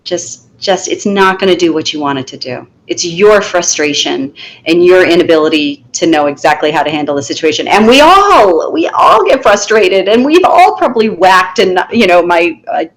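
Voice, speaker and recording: Firm, lively low-voiced woman teacher. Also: normal speed, poor audio. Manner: firm, animated, acts out classroom lines. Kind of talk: conversational interview.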